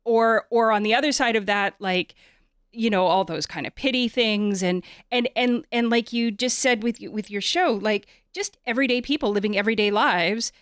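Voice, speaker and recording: A woman hosting a conversational interview, feeling her way through sentences in a halting way and repeating words as she goes. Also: fairly high pitch, clean tone, dry recording, clean studio sound. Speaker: podcast host.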